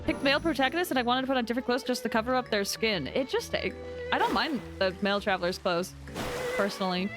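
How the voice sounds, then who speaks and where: A woman's voice: fairly high pitch, lively intonation, fast. A woman streaming a game and chatting casually with viewers in an informal, rambling way. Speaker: live streamer playing a game. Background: game music.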